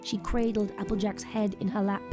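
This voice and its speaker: A woman narrating a scripted audio drama in a measured, ominous storytelling manner. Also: medium pitch, level tone. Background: music.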